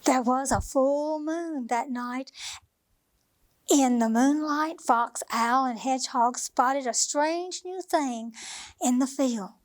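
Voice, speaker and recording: A woman reads a bedtime picture book to young children in a high voice. She goes very slowly, with an expressive, sing-song lilt. The sound is dry and close, with very little room. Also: dry recording. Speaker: children's librarian storyteller.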